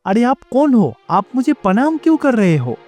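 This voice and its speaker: A man playing a calm, kindly god who lives as husband and father in a storybook tale. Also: extremely high pitch, moderate pace, soft background music, full character voice. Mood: puzzled. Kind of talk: storybook character dialogue.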